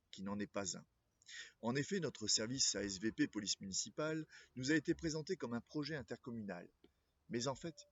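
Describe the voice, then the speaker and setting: A man speaking as a local political candidate, reading a scripted address in a formal, measured way and occasionally stumbling over words. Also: mid-range voice, slightly rough, dry recording, dull audio.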